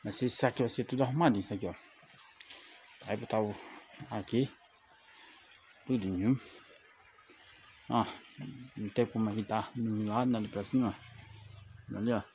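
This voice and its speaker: A man's voice: on the low side, fairly even intonation, normal speed, long pauses, a little mellow, slight background noise, poor audio. A man, a bricklayer vlogging casually from a rural building site, chatty and devout, pointing things out as he films on his phone. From Brazil. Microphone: phone camera held by the speaker.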